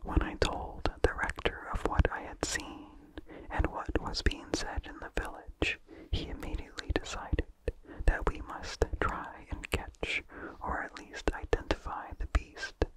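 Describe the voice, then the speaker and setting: Slow, fully whispered ASMR storyteller. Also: rough recording. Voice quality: whispered, unvoiced. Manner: slow, deliberate, pausing between short phrases. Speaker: narrator. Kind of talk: whispered ASMR reading of vintage horror story.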